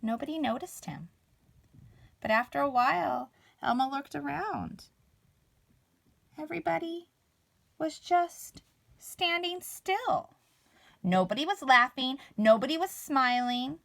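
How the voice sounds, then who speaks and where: Animated, high-pitched woman reading a storybook. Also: creaky. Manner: animated, dramatic pauses and emphasis. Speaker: read-aloud storyteller. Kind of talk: expressive children's storybook read-aloud.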